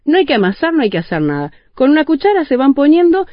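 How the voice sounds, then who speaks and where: A woman walks listeners through a recipe step by step in a chatty, informal way. Her voice sits at a middling pitch and sounds dry and slightly muffled. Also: sing-song, fast, no room sound. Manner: chatty, explains step by step with fillers. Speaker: radio co-host. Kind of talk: casual recipe explanation on radio. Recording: muffled, dry radio studio.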